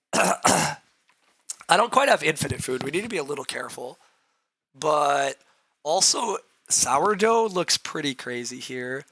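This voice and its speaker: A man with a hoarse, roughened voice talks through his moves on a live game stream. His tone is bright and edged, and he clears his throat now and then. He reasons aloud and answers viewers' comments. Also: a little high, expressive, unhurried, pauses often. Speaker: streamer playing a board game.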